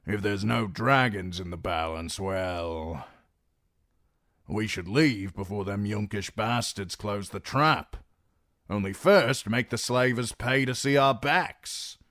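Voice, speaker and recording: Man playing a grizzled old mercenary captain, blunt, rough-spoken, lively delivery. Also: on the low side, no room sound, studio quality, full character voice. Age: older.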